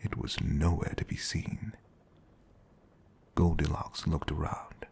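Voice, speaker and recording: A man playing a butler who reads a storybook fairy tale aloud in a soothing, breathy, creaky whisper. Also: extremely low pitch, takes it slowly, a little mellow, full character voice.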